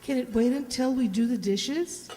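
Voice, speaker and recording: A woman's voice: on the high side, moderate pace, dark tone, a little hiss or hum, natural voice. A woman playing a patient, practical mother in a period radio play. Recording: reverberant hall stage.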